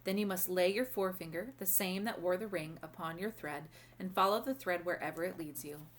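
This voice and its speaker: Woman reading as a serene old storybook grandmother, lowish voice. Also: a little hiss or hum, lightly acted. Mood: instructing. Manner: gentle, measured, formal instructing. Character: kindly, serene, wise old grandmother lady with magic. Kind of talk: storybook read-aloud character voice.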